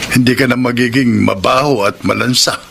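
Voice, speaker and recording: Gravelly older Filipino father. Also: medium pitch, fairly even intonation, moderate pace, a bit bright, a little hiss or hum. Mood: earnest, fond. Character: older father, fond, proud, mindful of family honor. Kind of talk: Filipino radio drama acting.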